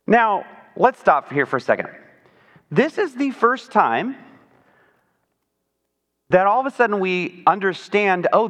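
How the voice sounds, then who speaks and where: A man with a high voice giving an explanatory sermon in a conversational, informal way, tossing in rhetorical questions. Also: fairly bright tone. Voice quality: high-pitched voice. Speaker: preacher. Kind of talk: sermon, explanatory Bible teaching. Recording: long hall reverb.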